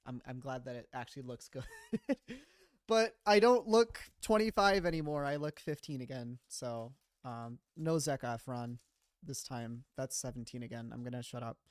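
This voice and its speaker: Young man, mid-twenties, casual rambling stream chat, mid-pitched voice, dry close sound. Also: normal speed, dry recording. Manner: rambling, chatty, self-deprecating with frequent laughs. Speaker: streamer and animator. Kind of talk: casual livestream chat, reading chat.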